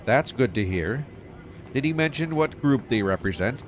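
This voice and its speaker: A man's voice: mid-range voice, animated, moderate pace, close to an everyday voice. A man playing a brusque, skeptical police captain. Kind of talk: audio drama acting. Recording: faint outdoor street and vehicle noise.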